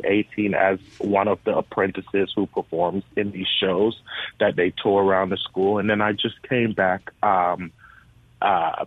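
A man from the US Virgin Islands, a theater education director, giving earnest answers as a radio interview guest. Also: fairly low pitch. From US Virgin Islands (Saint Thomas). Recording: narrow phone-like radio line.

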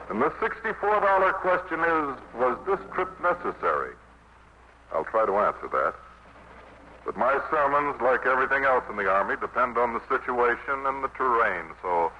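An actor playing a down-to-earth, earnest American army chaplain preaching informally in a 1950s radio drama. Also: male voice, fairly low pitch. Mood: wry. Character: plainspoken, folksy American army chaplain, steadfast. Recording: muffled, noisy vintage radio broadcast.

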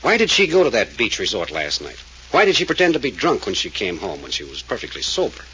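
A man's voice: fairly low pitch, huge pitch swings, fast, pushed and bright, exaggerated voice. A man playing a blunt, probing private detective in a 1940s radio drama, questioning insistently. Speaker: hired detective. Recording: dull lo-fi old radio recording with faint hiss.

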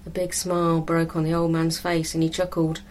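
Low-voiced woman narrating a story. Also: monotone, fairly quick, darkish tone.

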